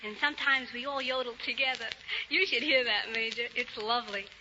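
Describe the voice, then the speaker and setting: A high-pitched woman chats as a guest contestant on a 1930s radio talent show. The old broadcast sounds dull and lo-fi. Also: fairly quick, pushed and bright, slight background noise. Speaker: amateur contestant, cowgirl yodeler.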